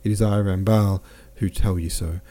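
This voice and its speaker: A man's voice: low-pitched, fairly even intonation, normal speed, mellow. A man voicing a jovial, boastful French army captain who chatters in exclamations.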